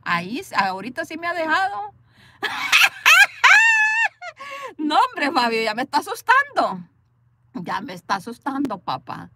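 A woman's voice: sits high, huge pitch swings, unhurried, long pauses, very noisy. A woman from El Salvador with a Salvadoran accent, chatting playfully and laughing with live viewers from inside a parked car, recorded on a phone. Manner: chatty, playful, laughs often, talks back to commenters. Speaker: livestream host reading viewer comments. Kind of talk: casual chat with live viewers.

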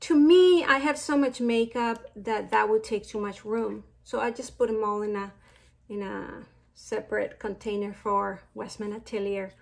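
A woman's voice: medium pitch, gravelly. A middle-aged woman from Mexico with a Mexican accent, chatting casually as she reviews makeup products. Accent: Mexican Spanish. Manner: chatty, slow and unhurried with fillers and trailing asides. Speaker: beauty vlogger.

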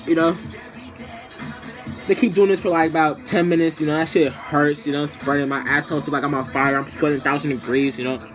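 A young man telling a casual, comedic story to camera in an animated, slangy way, acting out the scenes, recorded in a small room at arm's length. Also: on the high side, quick pace, over music. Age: young adult. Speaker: storytime vlogger.

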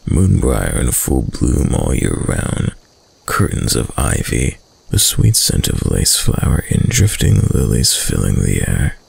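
A man performs a possessive, seductive prince of dark reputation for a roleplay audio drama. The voice is extremely deep and thick with gravel, breathy and husky. He speaks slowly and suavely, drawing out his words with hushed, dominant control. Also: heavily acted. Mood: courtly, flattering. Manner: slow, hushed, suave, deliberate. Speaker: voice actor.

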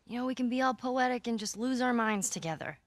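A woman's voice: fairly high pitch, quick pace, no echo, close to an everyday voice. A woman playing a vulnerable, plain-spoken American teenage girl survivor in a video game. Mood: sad, hesitant. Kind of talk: cinematic video game acting.

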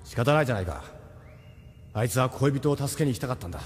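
A man's voice: medium pitch, lively intonation, fast, slight background noise, lightly acted. A man playing an easygoing, sympathetic captain of a rebel crew in an audio drama, with a frank manner. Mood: fond, accepting.

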